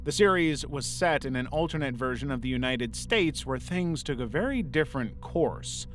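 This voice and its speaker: A man's voice: medium pitch, expressive, normal speed. Man, mid-range voice, scripted video essay narrator, light background music.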